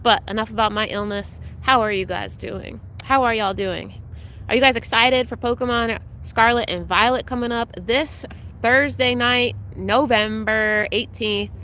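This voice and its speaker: A young woman hosts a live game stream, chatting loosely and greeting her viewers one by one. Her voice sounds a little congested and she fills pauses with ums. A faint hum sits behind her voice. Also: a little high, sharp tone. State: congested, recovering from illness. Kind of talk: casual live-stream chat.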